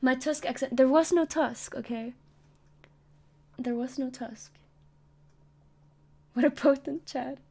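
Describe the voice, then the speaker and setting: A woman's voice: high voice, slow, gravelly, long pauses, darkish tone, slightly rough. A woman streamer chatting casually between comic readings, jokey and giggly.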